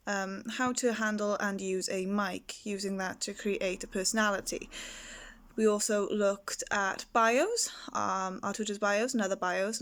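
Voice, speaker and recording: A young woman, a music student, reviewing her year of singing studies in a reflective, hesitant way with frequent ums. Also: mid-range voice. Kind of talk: spoken course review.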